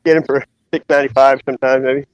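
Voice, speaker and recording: A man's voice: mid-range voice, flat delivery, quick pace, dry recording, dull audio. A man chatting casually on a live stream outdoors, filming with a chest-mounted phone, rambling and swearing now and then. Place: outdoors in bright sun. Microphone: phone camera on chest.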